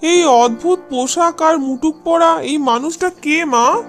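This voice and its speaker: A determined young son from a cartoon folk tale, voiced by a woman, speaks earnestly. The voice is fairly high, with faint music behind it. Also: measured pace. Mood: curious. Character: devoted, determined young son of village landowner. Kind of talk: cartoon fairy-tale acting.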